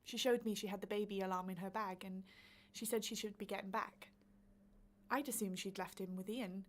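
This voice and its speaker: A woman's voice: medium pitch, steady tone, brisk, lightly acted. A woman playing a chatty older female neighbour in a radio play monologue, telling her story in a gossipy, anecdotal way. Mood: confiding.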